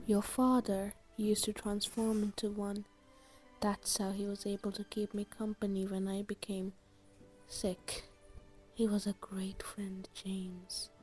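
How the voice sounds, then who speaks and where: An actor playing a weary, gentle, resigned male teacher in an audio drama, speaking softly and hesitantly. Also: mid-range voice, level tone, slow pace, faint music behind, voice pushed up, close to an everyday voice. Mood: gentle, wistful. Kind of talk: scripted audio drama acting.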